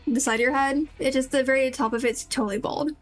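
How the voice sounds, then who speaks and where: A woman's voice: high-pitched, steady tone, fast, faint music behind. A woman streaming a video game, chatting casually in a rambling, playful, self-deprecating way.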